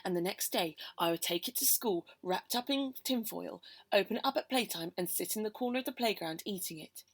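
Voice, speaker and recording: A woman reading a children's poem aloud in a theatrical, expressive storytelling manner. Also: medium pitch, brisk. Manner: theatrical, expressive, with hushed whispers and exclamations. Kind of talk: animated children's poem performance.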